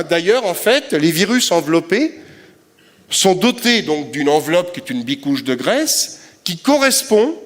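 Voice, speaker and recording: A man giving a science lecture to an audience, explaining step by step with everyday comparisons and frequent verbal fillers. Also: sits high. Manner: explanatory, uses everyday metaphors, frequent "hein" and "euh". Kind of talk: public science lecture with slides. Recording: long hall reverb.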